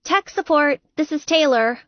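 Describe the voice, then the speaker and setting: A woman narrator voicing a kind but exasperated geeky young woman gamer in an audiobook, placating and brisk. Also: sits high, no echo, highs cut off, voice pushed up, lightly acted. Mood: brisk, upbeat.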